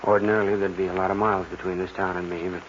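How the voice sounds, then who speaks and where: Actor as a low-voiced young cowboy outlaw, old radio. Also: male voice, flat delivery, racing, natural voice. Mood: tender, resigned. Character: lovestruck young outlaw cowboy, tender but resolute. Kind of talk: 1940s western radio drama acting. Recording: muffled noisy 1940s radio recording.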